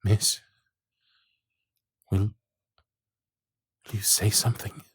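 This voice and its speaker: A man acts out an earnest young gentleman hopelessly in love, in a romantic audio drama. His voice is on the low side and husky. He pleads tenderly and haltingly, recorded close and dry. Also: level tone, dry recording, heavily acted. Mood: loving, nervous. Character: earnest, lovestruck young gentleman, former servant, proposing. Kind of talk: romantic ASMR roleplay acting.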